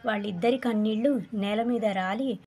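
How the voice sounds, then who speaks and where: A woman's voice: medium pitch, normal speed, mellow, breathy edge. A woman narrating a scripted children's story.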